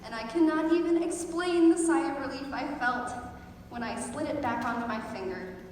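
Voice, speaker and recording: A woman's voice: sits high, moderate pace, darkish tone. A teenage girl telling a personal story as a scripted stage monologue, with dramatic comic overstatement. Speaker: high school theater student performer. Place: large echoey indoor performance space. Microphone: distant camera microphone. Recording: very echoey hall, distant, faint noise.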